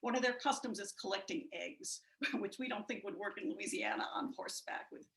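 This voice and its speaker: Woman musician on a video call, bright, chatty, lots of fillers; echoey room. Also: mid-range voice, brisk. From United States. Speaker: musician guest. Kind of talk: casual spoken introduction.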